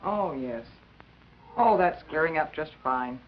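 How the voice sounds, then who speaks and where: A woman playing a kindly, practical American school nurse who narrates a 1950s educational film in a measured, reassuring manner. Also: low-pitched, animated, heavily acted. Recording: dull 1950s film soundtrack.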